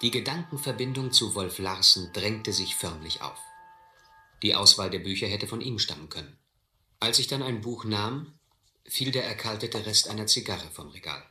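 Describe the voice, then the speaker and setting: Shrewd sea captain, bright low voice. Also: male voice, animated, moderate pace, roomy sound, soft background music. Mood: reflective narrating. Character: shrewd, suspicious male sea captain in the South Seas. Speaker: actor playing captain and first-person narrator. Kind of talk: German radio adventure drama acting.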